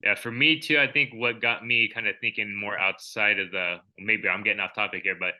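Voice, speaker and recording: A man's voice: on the low side, steady tone, fairly quick, muffled recording. A young adult man co-hosting a podcast, talking in a reflective, rambling way with many fillers. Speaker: podcast co-host. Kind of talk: casual chat.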